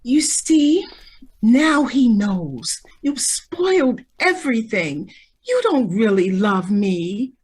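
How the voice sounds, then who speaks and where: A woman acts a spoiled young heiress in a radio play. Her slightly husky voice takes its time and swings freely up and down, girlish and breezy, with a naive callousness that easily tips into petulance. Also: fairly high pitch, very animated, slow pace, a little mellow, exaggerated voice. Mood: petulant, indignant. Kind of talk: old-time radio drama acting.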